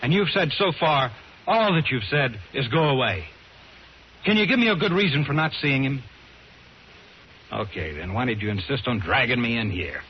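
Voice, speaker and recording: An American actor playing a stubborn, hard-boiled talent agent in an old-time radio drama, dramatic and tough-talking. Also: male voice, medium pitch, animated, moderate pace, pauses often, slight background noise, close to an everyday voice. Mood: insistent, skeptical. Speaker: radio drama lead and narrator. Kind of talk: old-time radio drama acting and first-person narration. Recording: muffled vintage radio with music.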